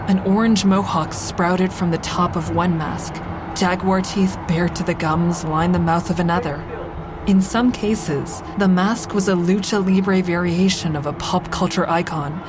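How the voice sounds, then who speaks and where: An actress playing a haunted, earnest woman who survived violence, narrating an audio drama in the first person with measured intensity. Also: on the low side, noisy background, natural voice.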